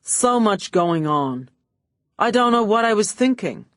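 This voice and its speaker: A woman plays a male film actor in an audiobook. He sounds smooth and ingratiating, a little flustered and very eager to please. The recording is dry and close. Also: mid-range voice, big pitch swings, measured pace, no room sound, pitched above the performer's own voice. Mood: flustered apology.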